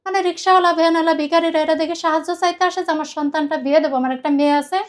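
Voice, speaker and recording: A woman with a Bangladeshi accent gives a personal account in a very high voice. She talks quickly and emphatically, rambling and circling back over her own words as a guest telling her story. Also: steady tone. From Khulna, Bangladesh. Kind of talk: personal confessional account in conversation.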